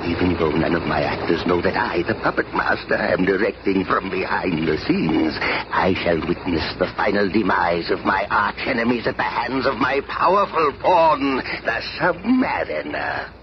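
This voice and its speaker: An actor playing a scheming puppet-master supervillain in a radio drama, theatrical, menacing and grandiose. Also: male voice, low-pitched, expressive, normal speed. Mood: gloating, triumphant. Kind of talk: 1970s radio drama acting. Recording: muffled, very noisy old radio recording.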